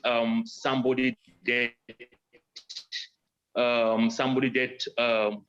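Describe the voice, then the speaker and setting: A man from Cameroon giving a research talk, pausing often with ums and restarting his phrases. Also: medium pitch, a bit bright. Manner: hesitant, many ums and restarts. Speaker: doctoral student presenter. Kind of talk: research presentation and Q&A. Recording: dull lo-fi sound.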